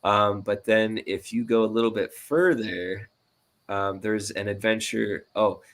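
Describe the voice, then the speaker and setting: A man's voice: on the low side, steady tone, a little slow, a bit bright. A man talking casually and informally as a guest, explaining things in a rambling, friendly way. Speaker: guest, audio drama producer. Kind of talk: casual explanation of crowdfunding rewards.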